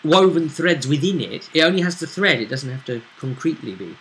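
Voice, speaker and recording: A man's voice: on the high side, expressive, a little fast, fairly bright tone, a little hiss or hum, rough recording. A man casually recapping a tabletop role-play session as game master, rambling as he explains it.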